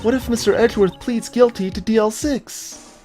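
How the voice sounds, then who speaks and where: An earnest, curious young girl assistant from a game, played by a woman. She speaks in a fairly high but mellow voice with a naive, questioning air, over faint music. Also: moderate pace, natural voice. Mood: worried, puzzled. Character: earnest, inquisitive young female legal assistant.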